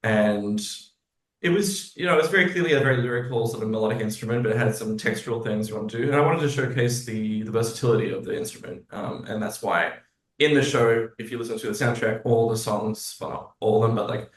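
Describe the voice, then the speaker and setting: A man from Australia talks in a roomy, echoing space with a medium-pitched voice. He is casual and reflective as a guest, often hesitating as he searches for the right words. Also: fast. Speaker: composer and lyricist, interview guest. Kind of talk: casual reflective interview talk. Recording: roomy echo on the voice.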